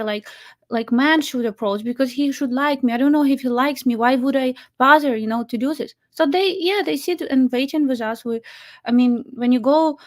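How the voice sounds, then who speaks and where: A woman from Ukraine with a Ukrainian accent, a matchmaker talking casually with many fillers, restarts and laughs. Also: high voice. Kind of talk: casual chat.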